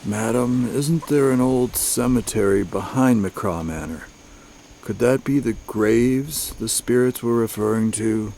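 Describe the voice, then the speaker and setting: A man playing a calm, reasonable father figure in a radio drama, measured and polite. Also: medium pitch, natural voice. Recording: clear background noise, clicks.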